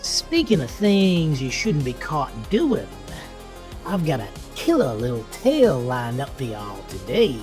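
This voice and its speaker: A man's voice: medium pitch, huge pitch swings, measured pace, music in the background. A man playing a folksy, gleefully morbid horror host uncle in an audio drama, with a teasing, conspiratorial drawl. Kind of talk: 1980s horror anthology host intro.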